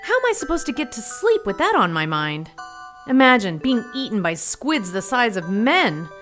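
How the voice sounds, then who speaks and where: A woman playing a frightened, excitable young woman narrating a 1940s mystery radio drama. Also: a little high, moderate pace, faint music behind. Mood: uneasy.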